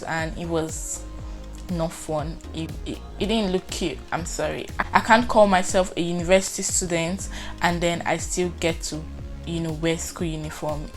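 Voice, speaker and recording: Chatty Nigerian woman vlogging. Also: fairly low pitch. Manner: chatty and expressive, acts out her reactions. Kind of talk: casual vlog storytelling.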